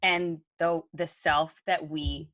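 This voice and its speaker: A woman's voice: low voice, little pitch movement, slow, fairly bright tone, no echo. A woman co-hosting a podcast, talking earnestly and reflectively with frequent ums. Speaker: podcast co-host. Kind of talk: conversational podcast talk.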